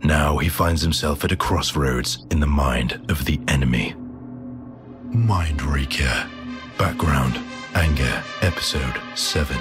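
A man's voice: extremely low pitch, unhurried, music in the background. A man giving scripted, dramatic recap narration as a series announcer.

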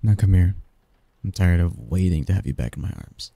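A man playing a possessive, teasing sleep demon lover in an intimate ASMR roleplay, speaking softly in formal, flirtatious phrases. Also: low voice, animated, fairly quick, creaky, no echo, heavily acted. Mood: affectionate. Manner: flirtatious, formal wording, soft intimate delivery, chuckling.

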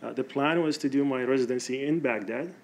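A man in his thirties from Iraq telling a personal story from a podium on stage, pausing often with "uh" and "um". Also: medium pitch, a little hiss or hum. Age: 30s. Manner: halting, with frequent "uh" and "um".

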